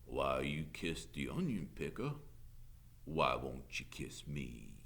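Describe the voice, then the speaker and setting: A man voicing a lecherous, drunken small-town sheriff in an audiobook, with a slow drawl. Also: rumbling low, sing-song, vocal fry, fairly bright tone, voice pushed down, heavily acted. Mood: leering.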